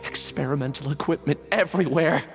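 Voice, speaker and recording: An actor playing an anxious, shaken escapee in a video game, speaking in an urgent, strained, pleading way. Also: male voice, a little high, brisk, vocal fry, soft background music. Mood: frightened, insistent. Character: anxious, shaken male escaped victim, earnest and insistent. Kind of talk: scripted video game voice acting.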